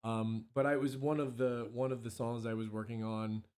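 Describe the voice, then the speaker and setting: A hoarse man, a musician and songwriter, chatting casually as an interview guest in a rambling, self-interrupting way. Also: mid-range voice, flat delivery, moderate pace, darkish tone. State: hoarse from allergies, clearing throat.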